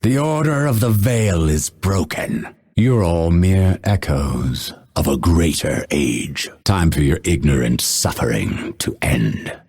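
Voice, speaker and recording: A man voicing a vengeful exiled assassin in a video game, grim and proud, with a raspy, theatrical, ominous delivery. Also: deep voice, expressive, takes it slowly, a little mellow, exaggerated voice. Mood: menacing, defiant. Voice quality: gravelly, raspy. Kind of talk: dramatic video game voice lines.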